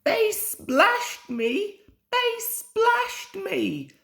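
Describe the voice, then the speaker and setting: Man putting on a high, huffy little steam engine. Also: sing-song, creaky, a bit bright, roomy sound, full character voice. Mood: indignant, hurt. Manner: indignant, exclaiming in drawn-out cries.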